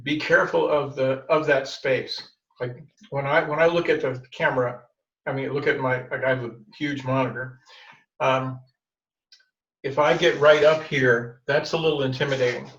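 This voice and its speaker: A man's voice: mid-range voice, fairly even intonation, moderate pace, a bit bright, distant mic. A man gives rambling, encouraging feedback over a video call. He sits away from his mic in an echoey room, and his sound is dull and lo-fi. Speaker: meeting evaluator. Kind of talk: giving meeting feedback.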